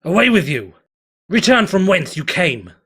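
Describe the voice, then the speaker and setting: Stern male explorer voiced by a man, growling, commanding, husky. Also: high voice, big pitch swings, a little slow, fairly bright tone, pitched above the performer's own voice, exaggerated voice.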